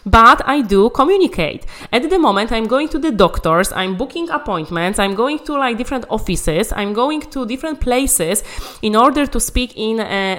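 A woman's voice: mid-range voice, fairly bright tone. Emphatic woman coaching. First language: Polish. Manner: emphatic, encouraging, repeats key phrases. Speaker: English conversation coach. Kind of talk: motivational language-learning talk.

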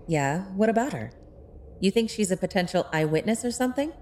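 An actress playing a sharp, sassy young hacker sidekick in an audio drama, talking in a casual, wry, blunt way. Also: female voice, mid-range voice, animated, fairly quick, slight background noise, natural voice. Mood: wry, curious.

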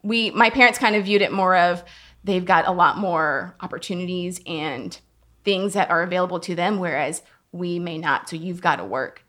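A young woman from Georgia chats casually as a guest. She laughs often and lets her sentences trail off softly. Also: lowish voice, normal speed. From Georgia, USA. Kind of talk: casual conversation.

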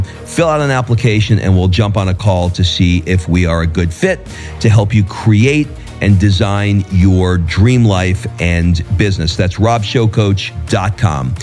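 Man, podcast host, lowish voice, upbeat promos, soft measured questions. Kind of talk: scripted show intro, then interview questions.

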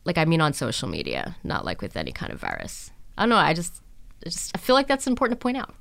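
Woman podcast host w a lowish voice, chatty casual interview style. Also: huge pitch swings, quick pace, vocal fry, fairly bright tone.

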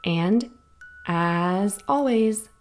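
A woman hosting a children's Bible story podcast, narrating a scripted story in a gentle, clear, unhurried way. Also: medium pitch, animated.

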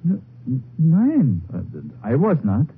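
An actor playing a big, stolid, grieving German immigrant with a German accent and halting, defensive broken English in a 1950s radio drama. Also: male voice, fairly high pitch, huge pitch swings, slightly rough, exaggerated voice. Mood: nervous, defensive. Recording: muffled, noisy 1950s radio recording.

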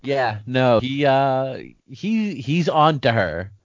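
A man co-hosting a podcast in casual chat, joking, animated, often restarting his sentences. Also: mid-range voice, slow, no room sound. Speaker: podcast co-host. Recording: dry, dull lo-fi sound.

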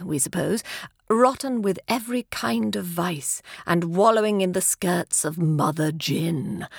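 A woman's voice: mid-range voice, vocal fry, husky, dry recording, studio quality. A woman with an English accent narrating a literary story in an arch, formal, theatrical storyteller's manner. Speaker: audiobook narrator.

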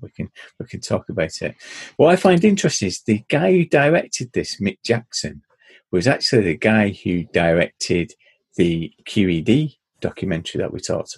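A man's voice: low-pitched, lively intonation, moderate pace, breathy edge, no room sound. A middle-aged man, explaining thoughtfully on a podcast, with plenty of ums. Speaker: podcast co-host. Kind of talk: casual chat.

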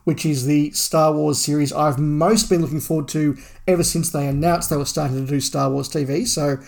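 Podcast co-host, male, noticeable vocal fry, measured and conversational, informal fan talk. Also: fairly high pitch, steady tone, darkish tone. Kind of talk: casual fan podcast chat, reading listener email.

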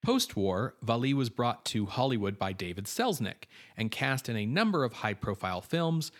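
A man's voice: medium pitch, lively intonation, normal speed. A man co-hosting a film podcast, reading background notes on cast and crew in an informative way, with casual fillers and asides. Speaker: podcast co-host.

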